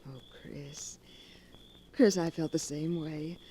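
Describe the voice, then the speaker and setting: An actress playing a vain, yearning young woman in a 1940s radio drama, breathy and sighing. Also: low-pitched, fairly even intonation, slow, warm tone, a little hiss or hum, poor audio. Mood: lovestruck.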